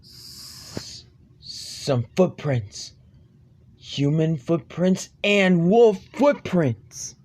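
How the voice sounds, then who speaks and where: Slow theatrical man spinning a spooky tale. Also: on the high side, lively intonation, a little mellow. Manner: theatrical, ominous, drawn-out emphasis. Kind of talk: improvised amateur campfire horror storytelling.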